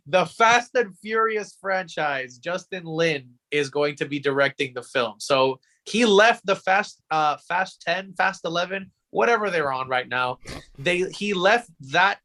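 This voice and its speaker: A man with a forceful, bright voice that sits slightly high co-hosts a podcast. He lays out news items conversationally, as if discussing them with friends. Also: expressive, a little slow. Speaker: podcast co-host.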